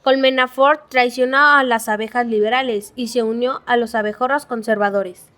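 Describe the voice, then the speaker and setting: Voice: mid-range voice, steady tone, normal speed, sharp tone. A child reading scripted narration for a school audio drama.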